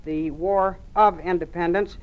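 A nervous student stumbling through a recitation, full of hesitations, in an old radio drama. The sound is dull and lo-fi. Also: male voice, sits high, fairly even intonation. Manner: halting, hesitant, full of uhs. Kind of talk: 1950s radio drama acting.

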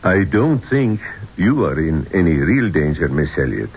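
An actor playing a grave, discreet Egyptian police inspector with formal, guarded phrasing in a radio drama. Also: male voice, sits low, very animated, unhurried, a little mellow, husky, exaggerated voice. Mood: ominous, measured. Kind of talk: 1970s radio drama acting. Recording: dull, noisy 1970s radio recording.

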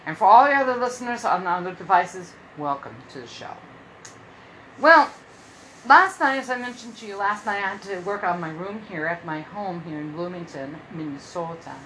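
A woman's voice: fairly low pitch, animated, moderate pace, a bit bright, slight background noise, rough recording. A woman in her early fifties hosting a casual solo podcast from her room at home, rambling and often repeating herself. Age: 50s. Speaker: podcast host.

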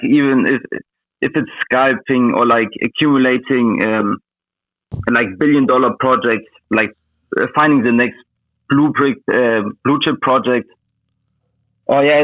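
Russian native guy chatting as interview guest, kinda halting, sounds like a dry phone line. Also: mid-range voice, no room sound. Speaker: interview guest, meme coin trader. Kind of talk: casual interview chat. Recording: thin phone-like voice-call audio.

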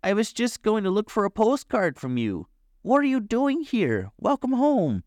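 A man plays an eager young creature from a children's storybook. The voice is very high and bouncy. It exclaims everything with wide-eyed wonder. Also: huge pitch swings, normal speed, darkish tone, no echo, clean studio sound. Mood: astonished, eager. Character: eager, astonished young male Fraggle nephew creature.

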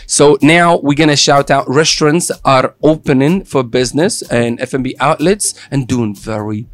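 A man's voice: medium pitch, moderate pace, dry recording. A man with an Italian accent hosting a daily show in a playful, joking way. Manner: playful, joking, jumps between topics. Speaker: show host. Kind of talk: lively daily show chat.

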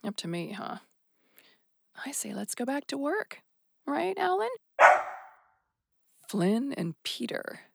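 An actress playing a tough, embittered policewoman in an audio drama, with a creaky voice and a defiant, determined manner. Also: sits high, big pitch swings, takes it slowly, pauses often, full character voice. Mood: weary, wry. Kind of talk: naturalistic audio drama acting.

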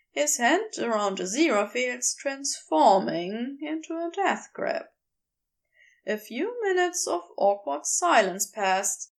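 A woman reading a story aloud as a narrator, in expressive scripted narration. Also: on the high side, gravelly. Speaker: podfic narrator.

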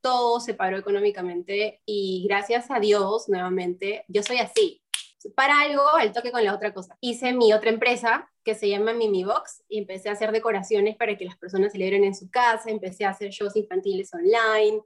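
A woman from Peru in her twenties, telling her story in an animated, chatty way during an interview. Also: medium pitch, brisk. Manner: animated, storytelling with quoted voices. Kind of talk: casual interview chat.

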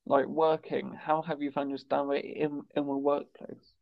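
A man's voice: a little high, flat delivery, normal speed, creaky. A man with a stammer who often repeats words and says "like", hosting a conversation as a campaigner. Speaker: campaigner and podcast host. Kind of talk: conversational interview chat. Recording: dull lo-fi sound.

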